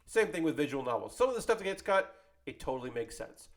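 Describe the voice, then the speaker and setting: Male host, slightly high voice, off-the-cuff commentary, conversational and meandering, self-correcting. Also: big pitch swings, quick pace. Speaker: host of an anime club video show. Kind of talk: casual unscripted commentary. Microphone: close microphone.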